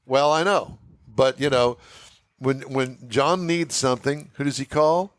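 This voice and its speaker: A man's voice: medium pitch, measured pace, dry recording. Jovial man hosting a car show. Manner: jovial, teasing banter. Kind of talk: casual on-air chat. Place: outdoor car show remote studio. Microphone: headset.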